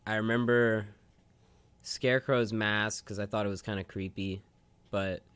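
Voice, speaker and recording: A man's voice: lowish voice, steady tone, a little slow, fairly bright tone, clear, resonant tone, no echo. A man co-hosting a casual chat show, talking in a loose, rambling, slangy way. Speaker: podcast co-host.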